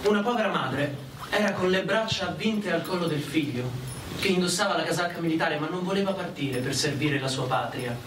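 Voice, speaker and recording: Male, high-pitched, earnest dramatic reading with a declamatory edge, recorded at some distance with noticeable echo. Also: fairly quick. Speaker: performer reciting. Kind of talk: dramatic literary monologue. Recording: echoey, distant, faintly noisy pickup.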